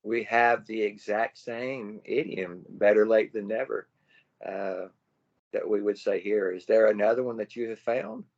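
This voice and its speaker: A man's voice: fairly low pitch, unhurried. American man on a muffled call. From United States. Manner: relaxed storyteller. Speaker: guest. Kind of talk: casual conversation on a video call. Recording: slightly muffled video call audio.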